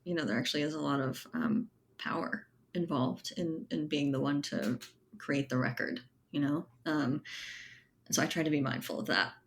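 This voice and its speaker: A woman's voice: low voice, normal speed. A woman speaking as an interview guest, explaining her thinking in a casual, thoughtful, conversational way. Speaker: guest, writer and editor.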